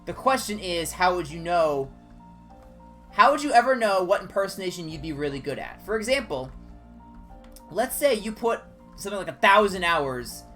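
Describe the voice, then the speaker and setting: A man with a higher-pitched voice, chatting casually and discursively as a game streamer. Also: moderate pace, faint music behind. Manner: animated, discursive, jumping between tangents. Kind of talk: casual rambling livestream chat during gameplay.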